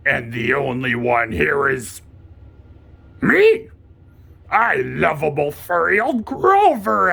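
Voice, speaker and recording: Lovable furry storybook monster, nervous and pleading, husky, very slow drawn-out emphatic delivery. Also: male voice, medium pitch, bright, forceful tone, full character voice. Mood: sheepish, relieved. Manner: melodramatic, drawn-out, emphatic delivery without contractions. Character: lovable furry male monster, excitable, easily frightened, pleading. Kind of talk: theatrical children's storybook puppet performance.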